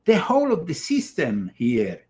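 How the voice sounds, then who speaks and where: A man from Italy with an Italian accent, hosting a political commentary show, speaking emphatically but halting often with fillers and restarts. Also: fairly high pitch, wildly swooping pitch, a little mellow. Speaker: show host.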